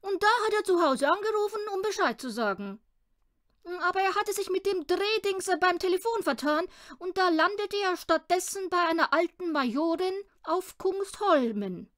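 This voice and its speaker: A woman voicing a boastful, bossy, excitable little man in a children's storybook, loud and pompous, given to pouting. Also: very high-pitched, moderate pace, voice pushed up.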